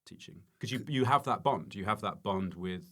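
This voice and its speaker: A man talking casually as an interview guest, halting and self-correcting, repeating words for emphasis. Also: on the low side, expressive, pushed and bright. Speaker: podcast guest, stand-up comedian and former teacher.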